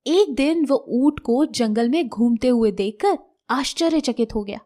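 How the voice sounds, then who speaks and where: Female narrator, high register, scripted storytelling for children's fables. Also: animated, unhurried, mellow.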